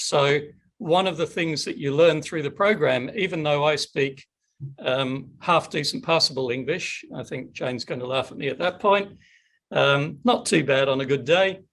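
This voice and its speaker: A man's voice: on the high side, gravelly, a bit bright. A middle-aged man hosting a webinar Q&A on a video call, chatty and upbeat. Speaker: webinar host. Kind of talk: casual webinar Q&A.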